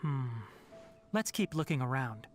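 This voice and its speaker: A man voicing a calm young forest scholar in a video game, measured and pondering. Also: mid-range voice, over music, natural voice. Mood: thoughtful.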